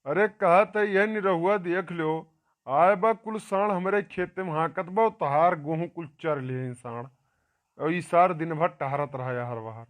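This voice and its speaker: Guy doing a highish scolding village farmer, awadhi accent, cartoon tattletale, keeps insisting. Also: measured pace, natural voice. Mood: tattling. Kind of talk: amateur comic cartoon dialogue.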